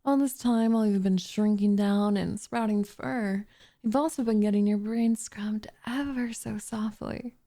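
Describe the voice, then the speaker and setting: A woman's voice: mid-range voice, unhurried, dark tone, close to an everyday voice. A woman voicing a doting, possessive witch in an intimate ASMR roleplay, cooing and teasing with smug condescension, often slipping into a whisper close to the microphone. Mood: soothing, possessive. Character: doting, possessive young witch turning captive into pet cat.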